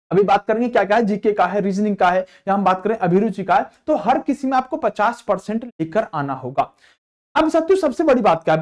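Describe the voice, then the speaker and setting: A man teaching exam candidates in an explanatory, repetitive way, often asking questions and answering them himself. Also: very high-pitched. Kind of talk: explanatory lesson addressed to students.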